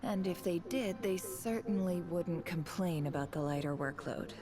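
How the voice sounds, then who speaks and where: A woman voices a young female student in a game cutscene, her voice on the low side. She is reserved and sharp, with a quiet bitterness under measured lines. Prominent music plays behind her. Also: natural voice. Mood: polite, probing. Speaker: video game character voice. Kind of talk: scripted game cutscene acting. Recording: background music behind dialogue.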